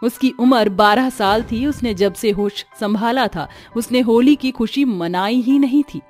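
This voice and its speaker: A woman's voice: a little high, a little slow, a little mellow, faint music behind. A woman giving scripted, dramatic narration of a story.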